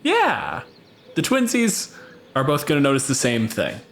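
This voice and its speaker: A man's voice: medium pitch, huge pitch swings, a little slow, vocal fry, a bit bright, slight background noise. A man working as game master, casually improvising narration for a tabletop game.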